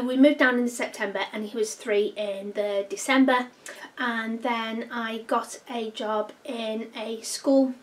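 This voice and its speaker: Chatty woman, slightly distant mic. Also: on the high side. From North Wales. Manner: chatty, rambling, many ums. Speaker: vlogger. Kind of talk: casual personal Q&A vlog.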